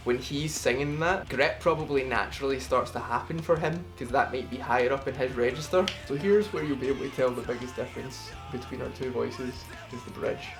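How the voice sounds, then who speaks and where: A middle-pitched man explains how he sang a cover, drifting off-topic and swearing casually as he goes. He is self-deprecating about his own skills. A song plays clearly underneath his talk. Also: fairly quick, hardly any pauses, music in the background. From United Kingdom. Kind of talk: casual vocal breakdown. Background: song playing underneath.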